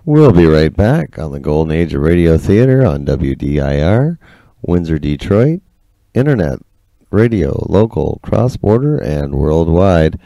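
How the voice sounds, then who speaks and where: A man with a very low, creaky and mellow voice hosts a radio show. He announces with animated intonation and unhurried pauses. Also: dry recording.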